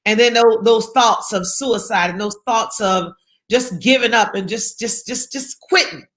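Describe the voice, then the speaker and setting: A woman's voice: fairly high pitch, a little slow, fairly bright tone. A woman from South Carolina, speaking as an interview guest in an emphatic, encouraging way and repeating her key phrases for effect. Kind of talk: motivational interview talk.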